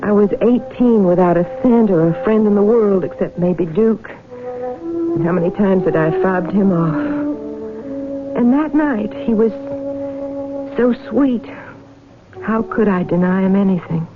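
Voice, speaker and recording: A woman's voice: mid-range voice, lively intonation, measured pace, pauses often, lightly acted. An actress playing a weary, vulnerable young farm woman in a radio drama, wistful and plaintive. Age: young adult. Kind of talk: radio drama acting, confessional narration. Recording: tinny vintage radio, music behind.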